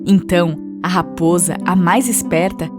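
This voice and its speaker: A woman's voice: fairly low pitch, moderate pace. A woman with a Brazilian accent reading a scripted children's fable as a storyteller. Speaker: narrator. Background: instrumental music.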